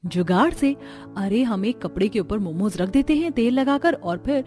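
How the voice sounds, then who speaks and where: A woman playing women of a joint family in a Hindi cartoon story, with expressive, earnest dramatic delivery. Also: on the high side, normal speed, soft background music, natural voice. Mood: earnest, homely. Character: women of a joint family, dutiful daughters-in-law and mothers-in-law.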